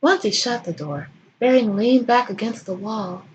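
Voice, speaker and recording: A woman's voice: a little high, expressive, moderate pace, darkish tone, dull audio, a little hiss or hum. A woman giving a comic dramatic reading of fan fiction as host, in a theatrical, irreverent manner.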